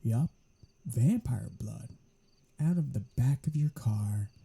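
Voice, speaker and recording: A performer playing a snarky, casually menacing vampire in a soft-spoken ASMR audio roleplay, teasing and sardonic, with a breathy, husky voice. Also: medium pitch, animated, slow pace, full character voice. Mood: dry, bantering.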